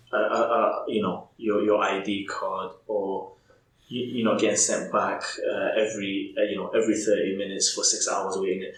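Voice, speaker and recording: A man's voice: on the low side, a little hiss or hum. A man from England, a podcast guest thinking aloud candidly, often restarting his sentences. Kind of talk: casual interview chat. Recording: roomy echo.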